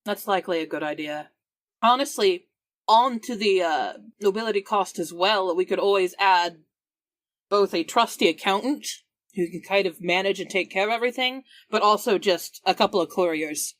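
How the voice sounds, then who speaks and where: A woman's voice: medium pitch, normal speed, dry recording. A woman improvising in-character conversation as a tabletop game player, polite and appreciative.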